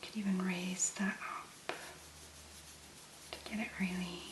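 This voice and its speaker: A woman speaking softly and breathily in an ASMR make-up tutorial, gently musing in a hesitant, unhurried way. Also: on the low side, little pitch movement, reverberant, a little hiss or hum. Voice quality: soft, breathy, mostly whispered. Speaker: ASMR content creator, make-up demonstrator.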